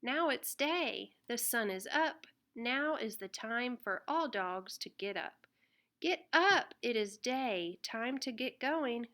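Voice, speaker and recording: A woman reading a children's picture book aloud in an expressive, deliberate way. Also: fairly high pitch. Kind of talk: read-aloud children's storybook narration.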